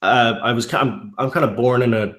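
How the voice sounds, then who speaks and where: A man's voice: medium pitch, steady tone, brisk, a bit bright, reverberant. A man from Ireland with a mild Irish accent, chatting casually as an interview guest, rambling with many restarts. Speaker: YouTube narrator guest.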